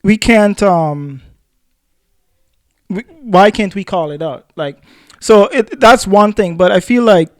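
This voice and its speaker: A man with a high voice talks as a podcast co-host in a casual discussion. He often doubles back on phrases while making his argument. The sound is dry, with hardly any room on it. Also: no room sound.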